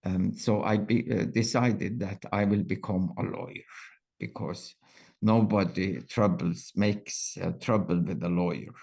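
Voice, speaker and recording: Slow gravelly older man, halting. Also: deep voice, fairly even intonation, darkish tone. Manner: halting, many fillers and restarts. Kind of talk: reflective interview answers.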